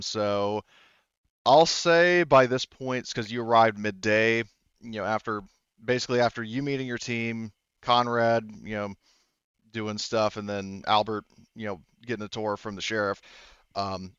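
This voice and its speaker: A man's voice: fairly low pitch, steady tone, normal speed, fairly bright tone, clean tone, dry recording, muffled recording. A man running a tabletop roleplaying game as game master, narrating in a rambling, hesitant way that often backtracks.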